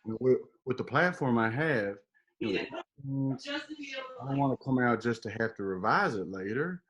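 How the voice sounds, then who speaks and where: Husky man acting a cocky closeted young actor. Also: medium pitch, animated, moderate pace, fairly bright tone, lo-fi sound. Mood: guarded, confiding. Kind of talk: table read of a comedy web series.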